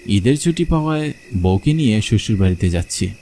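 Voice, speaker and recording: Low, mellow male horror-story narrator. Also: sing-song, a little fast, slight background noise. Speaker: first-person story narrator.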